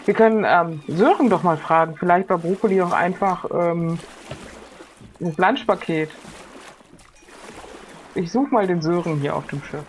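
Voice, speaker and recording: A woman's voice: fairly low pitch, a little slow, pauses often, a little hiss or hum. Hesitant woman improvising over faint ambience. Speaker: player in an improvised role-playing game. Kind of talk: casual improvised in-character game chat.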